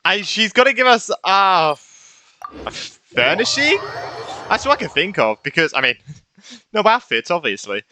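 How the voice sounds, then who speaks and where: A man's voice: high voice, very animated, unhurried, long pauses, sharp tone, slight background noise. A young man giving casual, rambling playthrough commentary as a gaming YouTuber, informal and exclamatory. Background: game music.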